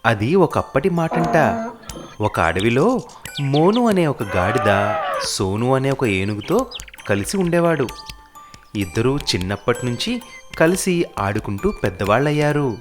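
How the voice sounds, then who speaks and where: Animated mid-pitched children's storyteller. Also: a little slow, pauses often, music in the background. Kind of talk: scripted children's fable narration.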